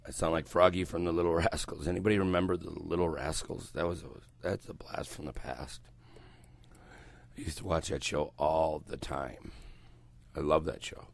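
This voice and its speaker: An older man hosting morning radio, his voice hoarse and croaky as he gets over a cold, rambling loosely and swearing freely into a headset mic in a small studio. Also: extremely low pitch, fairly even intonation, moderate pace. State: recovering from a cold, voice coming back. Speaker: radio host. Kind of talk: casual morning radio chat. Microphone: headset mic around the ear.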